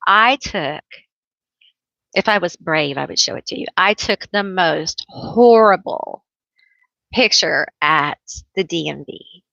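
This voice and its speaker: A woman reading tarot on a relaxed live stream, with a bright, forceful voice and an unhurried pace. She chats loosely, laughs a lot and pokes fun at herself. The sound is dry and a little dull, like lo-fi stream audio. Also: on the low side, vocal fry, no echo. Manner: chatty, laughs often, self-teasing; calls herself loud, a yeller. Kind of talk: casual live-stream chat.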